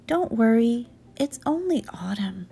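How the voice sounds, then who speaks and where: A woman's voice: a little high, big pitch swings, measured pace, creaky, mellow, breathy edge, soft background music, exaggerated voice. A woman voicing an earnest, worried young male fox cub who pleads and protects in a storybook reading. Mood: reassuring.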